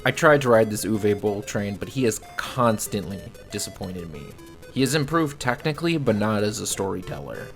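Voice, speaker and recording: A man giving scripted film review commentary in a blunt, exasperated, sardonic way, with a gravelly creak. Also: on the low side, animated, normal speed, faint music behind. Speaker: video reviewer.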